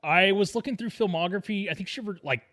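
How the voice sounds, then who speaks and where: A man's voice: high-pitched, quick pace, bright, forceful tone. A man co-hosting a casual film podcast in a jokey, conversational way. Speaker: podcast co-host.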